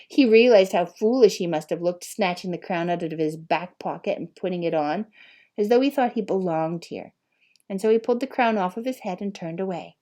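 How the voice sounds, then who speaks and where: A woman reading an audiobook in an expressive storybook style, with deliberate, dramatic phrasing and emphasis. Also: fairly low pitch. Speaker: audiobook reader.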